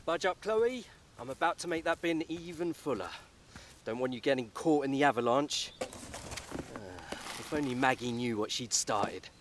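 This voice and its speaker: An actor plays a blunt but caring man in a radio soap. His mid-pitched voice is wry and colloquial, leaning on his words, with faint outdoor noise behind him. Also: animated, normal speed, natural voice. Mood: teasing, concerned. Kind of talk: naturalistic radio soap acting.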